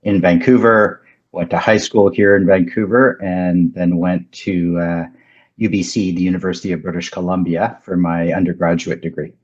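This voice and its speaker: A man in his fifties with a very low voice whose first language is French. He talks in a measured, thoughtful way, pausing on ums and restarting his sentences. The sound is muffled and lo-fi. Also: little pitch movement. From Canada. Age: 50s. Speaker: guest, company founder. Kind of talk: casual interview chat.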